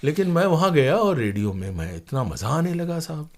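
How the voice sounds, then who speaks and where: A man's voice: on the high side, very animated, brisk. Older man telling anecdotes. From India. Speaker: interview guest, broadcaster and playwright. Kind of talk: conversational anecdotal storytelling.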